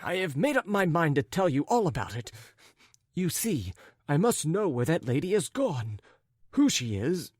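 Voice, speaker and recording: A man voicing a muddled, apologetic middle-aged gentleman in a story, agitated and wordy, stumbling over and correcting himself. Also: fairly high pitch, sing-song, moderate pace, no room sound, studio quality, pitched above the performer's own voice. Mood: apologetic, nervous.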